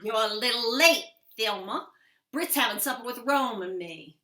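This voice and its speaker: A woman playing a proud, pushy small-town wife in a radio western, folksy and sharp-tongued. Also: on the high side, normal speed, roomy sound. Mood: indignant. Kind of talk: old-time radio western acting.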